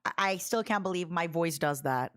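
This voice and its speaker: A woman's voice: fairly low pitch, normal speed, fairly bright tone. A woman from Ukraine with a Russian accent, streaming a casual reaction, giggly and self-deprecating, now and then squealing in delight.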